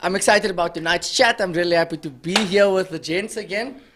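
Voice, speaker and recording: A man's voice: high voice, brisk, noisy background. A man chatting casually as a podcast panellist. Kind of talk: casual chat.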